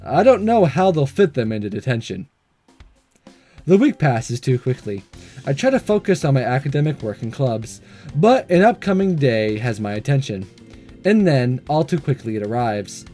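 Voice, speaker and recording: A man reading a visual novel aloud and commenting on it in a playful, excitable, self-deprecating way. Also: medium pitch, moderate pace. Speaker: gaming video host. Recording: music under the voice.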